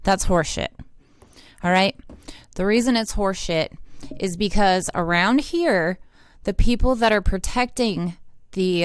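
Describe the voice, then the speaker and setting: An American woman with a fairly low, clear voice delivers unscripted political commentary. She is blunt and indignant and swears freely. The recording is dry and close, with little room sound. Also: no room sound. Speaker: independent online political commentator and activist.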